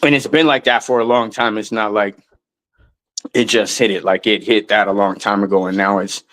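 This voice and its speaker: A man's voice: lowish voice, fairly even intonation, normal speed, fairly bright tone. A man in a casual interview, talking in a loose, rambling way with lots of restarts and some swearing. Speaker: guest musician.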